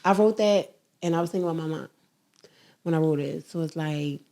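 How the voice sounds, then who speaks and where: Young philly woman rapper, kinda low voice, bold and emphatic. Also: fairly quick, dark tone. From Philadelphia, USA. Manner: bold, emphatic, faith-driven. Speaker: rapper guest. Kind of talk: casual interview chat.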